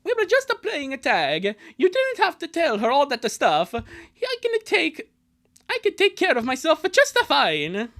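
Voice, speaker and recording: A little cartoon boy, put on by a streamer, pitched very high and bright. He is defensive and exclamatory, talking in comic plumber-style phrases as in a fan dub. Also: wildly swooping pitch, unhurried, exaggerated voice.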